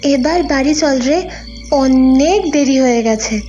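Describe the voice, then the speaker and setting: A woman playing a young female friend in an audio story, with a drawn-out, coaxing delivery. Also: high voice, warm tone, faint music behind. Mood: urging.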